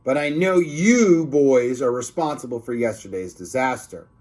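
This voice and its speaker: Man playing a bossy, animated school principal. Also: mid-range voice, normal speed, lightly acted. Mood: stern, accusing. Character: stern, gruff, punitive male school principal.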